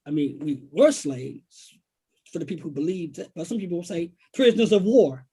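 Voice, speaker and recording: An American man, a writer, speaking reflectively and haltingly in a literary conversation, repeating phrases for emphasis. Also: a little high, lively intonation, dark tone, breathy edge. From Washington, D.C., United States. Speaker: poet and writer, guest author.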